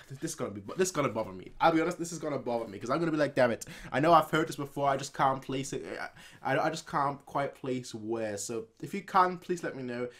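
A young man hosts a casual reaction video from his room. His pitch sits in the middle, and he rambles, circling back over the same phrases as he thinks aloud. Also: fast talker. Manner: rambling, repeats phrases, animated when reacting. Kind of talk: casual unscripted reaction commentary. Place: small home room indoors.

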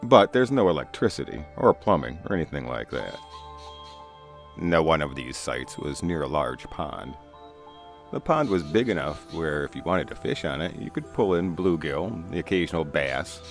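A man's voice: very deep voice, very animated, normal speed. A middle-aged man narrating a first-person spooky story in a conversational, folksy way. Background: music.

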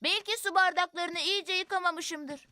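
A woman's voice: very high-pitched, steady tone, unhurried, heavily acted. A woman voicing a helpful, thoughtful young child in a preschool cartoon, offering things in a considerate way. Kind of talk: animated preschool cartoon dubbing. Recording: loud music behind the voice.

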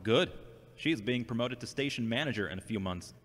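A man's voice: medium pitch, lively intonation, fairly quick, a bit bright. A man playing a polite, self-doubting grown son in an audio drama, plain and understated. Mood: reserved. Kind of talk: amateur audio drama acting. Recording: long hollow reverb.

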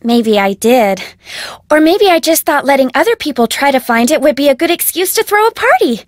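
A woman's voice: sits high, sing-song, fairly quick, dry recording, studio quality. A woman voicing a bubbly, bossy, spoiled rich young heiress in a video game, with an American accent and perky, dramatic, casual phrasing. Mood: gushing. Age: young adult.

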